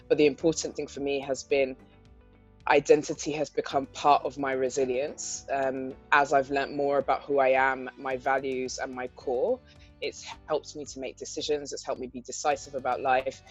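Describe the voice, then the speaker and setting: A woman's voice: rumbling low, slightly rough. A woman from England with a London-area accent, answering interview questions as a guest entrepreneur in a thoughtful, articulate way with frequent "um" fillers. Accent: English, London area. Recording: dull lo-fi audio with faint guitar music.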